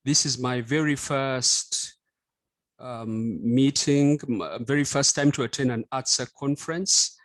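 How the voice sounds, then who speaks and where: A man from Uganda introduces himself on a video call in a courteous, gently humorous way. He speaks very slowly at a mid pitch, and his voice sounds dry and close to the mic. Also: no room sound, poor audio. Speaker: lecturer in French and translation. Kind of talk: self-introduction at an online conference.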